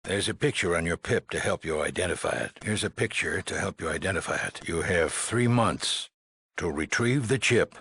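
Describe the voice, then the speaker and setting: Deep, husky, stern vault leader. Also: male voice, moderate pace, a bit bright, exaggerated voice. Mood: grave, instructive. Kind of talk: acted video game dialogue.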